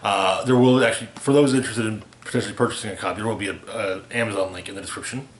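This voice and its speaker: A man reading a rhyming holiday parody aloud as a storyteller, with theatrical, deliberate delivery. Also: on the low side, steady tone, a little hiss or hum. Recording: roomy, echoey home recording.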